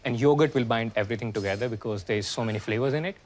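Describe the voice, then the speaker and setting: A man's voice: medium pitch, very fast. A man, a cooking contestant describing his dish in casual talk. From India. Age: 30s. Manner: friendly, slightly nervous. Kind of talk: casual interview.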